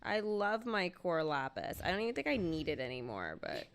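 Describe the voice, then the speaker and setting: Creaky-voiced woman streaming a game. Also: on the low side, big pitch swings, normal speed. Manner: chatty, thinking aloud while playing. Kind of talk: casual live stream gameplay commentary.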